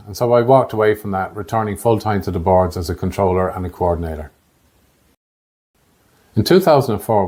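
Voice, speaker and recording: An Irish man in his late fifties narrating a scripted podcast in a measured, factual way. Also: lowish voice, darkish tone. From Ireland. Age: late 50s. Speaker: podcast host, air traffic controller.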